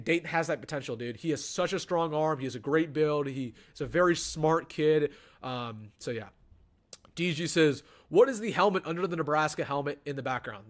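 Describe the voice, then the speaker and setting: A young man, hosting a casual live-stream sports show and chatting through viewer questions. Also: mid-range voice. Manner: chatty, animated, answers chat questions. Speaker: sports channel host.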